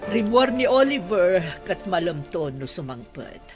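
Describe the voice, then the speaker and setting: A woman playing a shrewd, suspicious middle-aged mother and mansion mistress in an Ilocano radio drama, with a husky voice. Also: fairly low pitch, very animated, unhurried, music in the background. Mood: scheming, suspicious.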